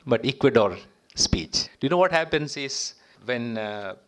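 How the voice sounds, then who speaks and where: A man's voice: medium pitch, animated, a little slow. Indian-accented man giving a formal speech. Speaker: diplomat, guest speaker. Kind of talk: public speech to professionals.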